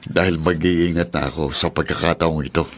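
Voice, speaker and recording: A man's voice: extremely low pitch, steady tone, fairly quick. A man playing an evasive, smug cheating husband in a Filipino radio drama.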